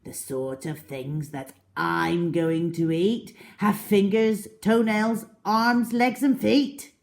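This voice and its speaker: A woman's voice: mid-range voice, fairly even intonation, creaky, darkish tone, voice pushed up. Woman voicing a huge, greedy, gloating crocodile villain. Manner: gloating, relishing each drawn-out word. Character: enormous wicked crocodile, boastful, greedy and menacing.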